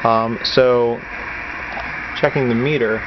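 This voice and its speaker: A man's voice: mid-range voice, steady tone, takes it slowly. A man talking casually and matter-of-factly through a how-to project, like a hobbyist showing his work. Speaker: amateur radio hobbyist, video maker. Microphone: handheld camera's built-in mic. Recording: dull camera-mic audio with clear hiss and hum.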